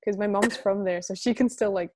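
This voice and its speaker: A young woman around twenty, co-hosting a podcast, chatting casually and quickly with frequent laughs. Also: mid-range voice, fairly even intonation, darkish tone, slight background noise. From United States. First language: Punjabi. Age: 20s. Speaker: podcast co-host. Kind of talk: casual chat.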